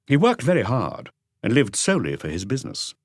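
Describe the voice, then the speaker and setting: A man's voice: medium pitch, wildly swooping pitch, a little fast, dry recording, clean studio sound. A man voicing an earnest, talkative doctor who explains things with assurance in an audiobook mystery. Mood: explanatory. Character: earnest male doctor, talkative and conscientious family friend.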